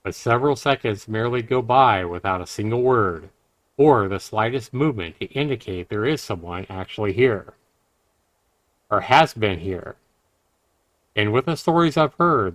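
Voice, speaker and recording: A man's voice: fairly low pitch, animated, normal speed, fairly bright tone, no room sound. Male game streamer, close dry sound. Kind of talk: reading a visual novel aloud with casual commentary.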